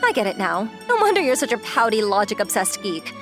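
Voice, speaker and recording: An actress playing a petite, haughty young interviewer with a childlike cuteness and a huffy, scolding manner in a manga dub. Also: female voice, mid-range voice, big pitch swings, brisk, lightly acted. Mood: exasperated. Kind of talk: scripted manga dub dialogue. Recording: prominent jingly music behind the voice.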